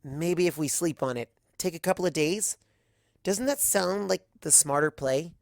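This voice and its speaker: A man's voice: on the high side, animated, moderate pace, gravelly, dry recording, pitched above the performer's own voice. A man voicing a young female unicorn heroine, dry-witted and stubborn, talking in a wry, casual way in a story reading. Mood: cautious arguing.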